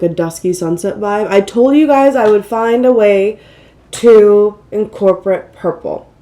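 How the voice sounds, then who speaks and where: A woman with a relaxed, mellow tone, slightly stuffed up with a cold. She rambles chattily through a casual makeup routine as a vlog host, poking fun at herself along the way. Her voice sits at an average pitch with a light nasal edge. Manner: chatty, rambling, self-deprecating, exclaiming. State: mildly congested from a cold. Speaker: beauty vlogger, channel host. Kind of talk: casual get-ready-with-me makeup chat.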